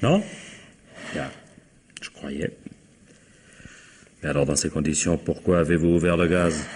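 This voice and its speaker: An actor playing a sardonic, dogged police inspector in a French radio mystery, prodding with irony and drawn-out interjections. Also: male voice, extremely low pitch, steady tone, a little mellow, slight background noise. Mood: ironic, pressing. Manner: ironic, prodding, drawling interjections. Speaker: actor in a radio crime drama.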